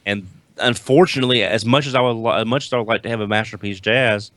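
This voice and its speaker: A man in a casual panel chat, speaking in a halting way and repeating words for emphasis. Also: on the low side, steady tone, a bit bright, no room sound. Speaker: podcast panelist.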